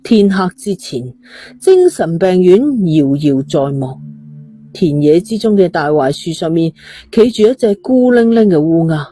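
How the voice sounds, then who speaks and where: A woman's voice: lowish voice, very animated, unhurried, warm tone. A woman with a Cantonese accent reading a scripted story dramatically as an audiobook narrator.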